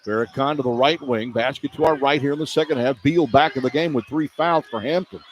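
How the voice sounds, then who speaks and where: A man's voice: mid-range voice, a little fast, highs cut off. A man working as a radio play-by-play announcer, calling a live basketball game from an indoor arena. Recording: clear arena ambience noise. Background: arena ambience.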